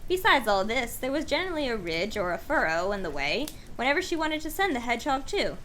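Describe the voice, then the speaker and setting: A woman's voice: a little high, very animated, fast, bright, forceful tone, a little hiss or hum. A woman reading a storybook aloud on a livestream, dropping in casual, slangy, self-deprecating asides. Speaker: host reading a storybook to a live audience.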